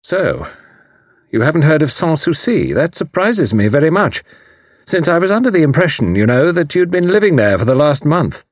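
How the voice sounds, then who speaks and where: A man's voice: on the high side, very animated, normal speed, no echo, studio quality, voice pushed up. A man voicing a suave, mocking enemy commander who turns grimly threatening. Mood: mocking, smooth. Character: mocking menacing male enemy spy commander.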